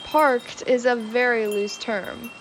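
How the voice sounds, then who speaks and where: A woman's voice: on the high side, fairly even intonation, takes it slowly, slight background noise, rough recording. A woman playing a blunt, snarky spaceship crew member in a sci-fi comedy audio drama with a dry manner.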